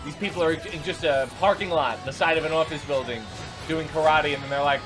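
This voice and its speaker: High-voiced man riffing sarcastically over music. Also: a little fast. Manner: exasperated, sarcastic, incredulous riffing. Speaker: reaction video host. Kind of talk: unscripted running commentary reacting to video clips.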